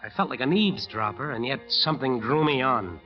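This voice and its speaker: A man's voice: mid-range voice, expressive, fairly quick, natural voice. An actor playing a driven, ambitious musician who narrates his nightmare dramatically in an old radio drama. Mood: tense, reflective. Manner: dramatic, intense storytelling. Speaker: narrator and lead character. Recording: dull old radio recording, music behind.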